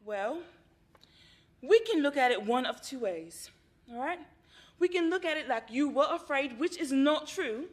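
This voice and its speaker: Woman acting as a calm therapist, high voice, reassuring, measured. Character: calm, encouraging female therapist. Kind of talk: stage play acting.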